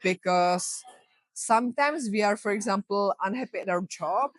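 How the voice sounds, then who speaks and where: A woman's voice: mid-range voice, moderate pace, dry recording. Young Czech-accented woman, podcast guest coach, earnest and encouraging, dry close sound. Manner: earnest, encouraging, many "you know" fillers. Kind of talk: casual podcast interview.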